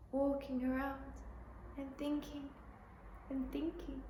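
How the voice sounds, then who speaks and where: A woman performs a fragile young actress from a classic tragic play, worn out and wounded yet clinging to faith. Her high voice moves slowly and haltingly, circling back on itself, in a roomy, echoing space. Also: warm tone, a little hiss or hum. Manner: halting, repeating, drifting between thoughts. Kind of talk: stage monologue, classic tragic drama.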